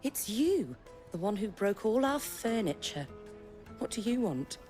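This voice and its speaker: A woman's voice: medium pitch, very animated, unhurried, a little mellow, faint music behind. An actress voicing an exasperated, scolding tavern woman in a video game. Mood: indignant. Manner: indignant, scolding.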